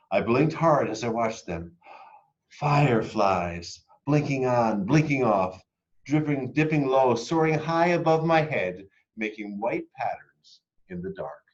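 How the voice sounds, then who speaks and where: A man reading a children's picture book aloud expressively, moving between hushed and emphatic delivery. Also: medium pitch, dull audio. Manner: dramatic, hushed and emphatic by turns. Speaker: read-aloud storyteller.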